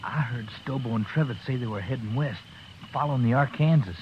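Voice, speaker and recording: An actor playing a timid young Texan cowhand with a Texan accent, speaking hesitantly in 1950s radio drama. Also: male voice, medium pitch, fairly quick, highs cut off, background noise, lightly acted. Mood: aggrieved.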